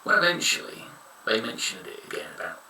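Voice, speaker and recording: A frank man in his thirties, talking casually and bluntly with a low, gravelly voice. His tone is sharp and bright. He sounds a little distant from the mic in an echoey room. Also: big pitch swings, a little fast, slight background noise. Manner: frank, rambling, blunt opinions. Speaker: vlogger giving advice. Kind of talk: casual advice monologue.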